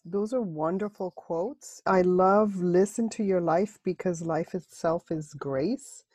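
A woman podcast host whose first language was Spanish, asking questions and responding warmly. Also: fairly low pitch, slow pace, no room sound, studio quality. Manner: warm, affirming, brief responses. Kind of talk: interview hosting.

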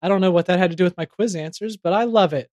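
A man's voice: sits high, fast talker, darkish tone, no echo. Male comedy podcast host, dry close sound. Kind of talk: playful scripted comedy narration and ad reading.

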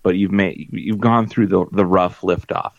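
A man with a very deep, gravelly voice rambles wryly through casual podcast talk. The sound is dry and a little dull, as if from a basic mic. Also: fairly even intonation, dry recording. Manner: rambling, halting, wry. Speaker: podcast co-host. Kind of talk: casual chat.

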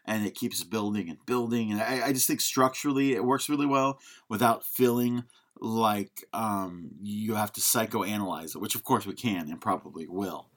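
A man with a slightly low voice co-hosts a casual film podcast. He speaks informally and hesitantly, working out his opinions as he talks. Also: fairly bright tone. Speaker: podcast co-host.

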